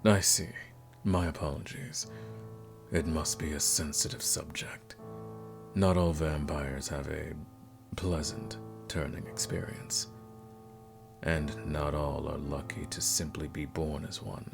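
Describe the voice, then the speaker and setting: A man playing a suave, courteous vampire nobleman in a scripted ASMR roleplay, speaking formally and softly in a gravelly voice. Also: steady tone, slow, exaggerated voice. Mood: sombre, measured. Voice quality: very deep, gravelly. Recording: music playing behind the voice.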